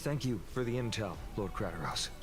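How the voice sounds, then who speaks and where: An actor playing a polite, earnest young hero in a video game, speaking in a courteous, sincere way. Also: male voice, lowish voice, a little fast, over music, lightly acted.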